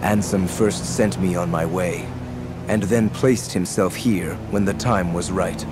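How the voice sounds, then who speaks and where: A cryptic game villain with a very deep, low voice who speaks slowly and solemnly. He measures out each word in a calm, knowing way, and a clear game soundtrack sits under him. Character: calm, mysterious young male villain. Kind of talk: video game cutscene acting. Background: game soundtrack music.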